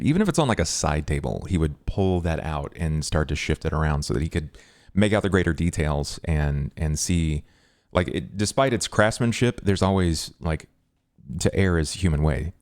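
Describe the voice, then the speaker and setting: A man playing a tabletop roleplaying game, casually describing what his character does in halting, thoughtful phrases. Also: rumbling low, darkish tone, no echo, studio quality.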